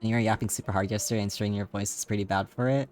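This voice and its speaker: Man, streamer, slightly raspy, low voice, rambling casual chat. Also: steady tone, very fast, darkish tone. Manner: rambling, many fillers, laughs often. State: voice tired from streaming.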